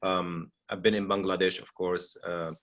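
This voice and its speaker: Middle aged syrian guy w arabic accent, lowish voice, talking haltingly at a seminar. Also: level tone, fairly bright tone. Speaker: physician moderating a seminar. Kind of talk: unscripted seminar discussion.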